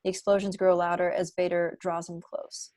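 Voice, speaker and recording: A woman reads scripted stage directions aloud at a table read. Her voice sits a little low, and the sound is close and dry. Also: level tone, moderate pace, darkish tone, no echo. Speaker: narrator reading stage directions.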